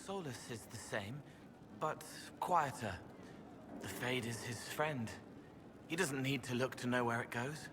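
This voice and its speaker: An actor playing a gentle, otherworldly young man in a video game, speaking in dreamy, halting, poetic fragments. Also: mid-range voice, noisy background, close to an everyday voice. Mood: dreamy wonder. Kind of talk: video game dialogue acting.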